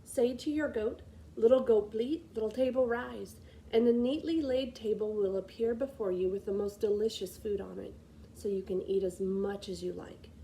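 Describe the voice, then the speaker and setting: A woman voicing a wise, kindly old woman in a storybook, measured and instructive. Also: mid-range voice, warm tone, a little hiss or hum, close to an everyday voice, rough recording. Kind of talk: storybook character voice.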